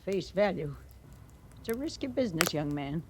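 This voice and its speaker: Female settler, old radio western. Animated, emphatic, folksy and plain-spoken, slight background noise. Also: lowish voice, normal speed. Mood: musing. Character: outspoken, plain-spoken married woman settler heading west. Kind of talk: 1950s radio western acting. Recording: noisy old radio drama recording.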